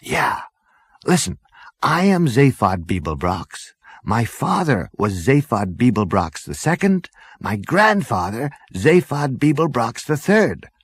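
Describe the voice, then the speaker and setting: A man's voice: mid-range voice, big pitch swings, takes it slowly, breathy edge, no echo, clean studio sound, pitched above the performer's own voice, full character voice. Man playing a brash, cocky space adventurer. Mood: bossy, urgent. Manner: bossy, slangy, impatient.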